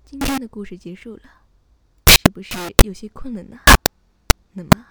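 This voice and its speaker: Loud background sound sits behind a woman narrating a children's bedtime story. She is a radio host with a soothing, scripted storytelling delivery, at an ordinary pitch for a woman. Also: moderate pace, heavy background noise.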